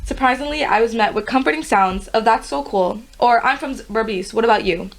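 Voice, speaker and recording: A young woman from Guyana reads her college admissions essay in an earnest, steady voice. Her voice sits a little higher than most. She is a guest on a podcast. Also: fairly quick. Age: young adult.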